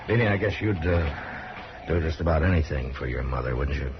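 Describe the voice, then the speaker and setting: An actor playing a calm, grave frontier lawman who questions in terse, measured phrases, in 1950s radio western style. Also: very deep voice. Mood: gentle, probing. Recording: muffled, noisy vintage radio recording.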